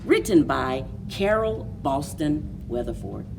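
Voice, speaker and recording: A woman hosting a children's story time, speaking in a deliberate, expressive read-aloud manner for young listeners. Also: sits low, far from the mic, background noise. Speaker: library story time host.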